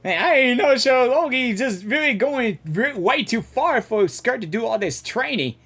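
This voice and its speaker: A game reaction streamer giving casual commentary, halting and repeating words while thinking aloud. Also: medium pitch, expressive, a bit bright.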